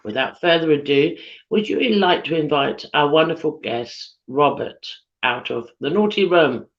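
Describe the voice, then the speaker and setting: A British woman hosting a live chat show on a video call, husky from losing her voice, chatty with lots of ums. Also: sits low, expressive, moderate pace. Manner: chatty, giggly, many ums. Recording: dull lo-fi video call audio.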